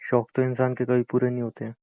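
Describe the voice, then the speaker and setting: A man's voice: mid-range voice, completely flat delivery, fast talker, dry recording, phone-quality sound, natural voice. A young man playing a hurt boyfriend who pleads and argues bitterly in a naturalistic audio drama of a couple's call. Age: young adult. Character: wounded, pleading young boyfriend with a failed business.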